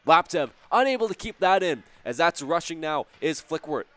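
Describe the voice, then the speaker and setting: A man calling a youth hockey game play-by-play, his voice a little hoarse and raspy, with brisk, chatty calls that rise sharply on chances and goals, plus joking asides. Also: a little high, lively intonation, a bit bright, no echo. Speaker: play-by-play commentator. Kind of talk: live hockey play-by-play commentary.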